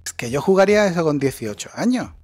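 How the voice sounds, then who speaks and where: A man's voice: a little high, big pitch swings, fast talker, a little mellow, faint music behind. A man, ranting sarcastically and swearing in a casual chat as a podcast co-host. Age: 40s.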